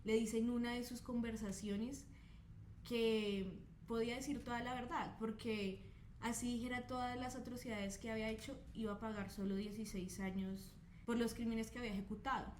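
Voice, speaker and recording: A woman's voice: on the high side, fairly even intonation, moderate pace, darkish tone. Woman, podcast co-host, echoey room, faint noise. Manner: adds details with tag questions. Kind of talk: true crime podcast chat.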